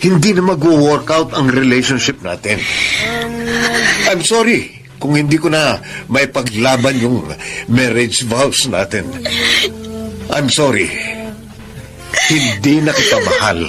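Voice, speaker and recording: An actor playing a cold, unfaithful middle-aged husband in a Filipino radio drama, firm and dismissive, with a Filipino accent. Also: male voice, medium pitch, very animated, takes it slowly, pauses often. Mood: cold, resigned. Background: music.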